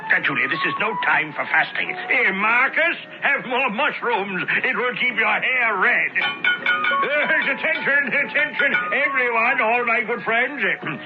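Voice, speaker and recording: A man's voice: very high voice, lively intonation, unhurried, music in the background. An actor playing a fussy, stammering, hospitable wealthy Roman father in a radio drama. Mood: jovial, flustered. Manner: stammering, effusive. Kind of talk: old-time radio drama acting. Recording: muffled old radio recording.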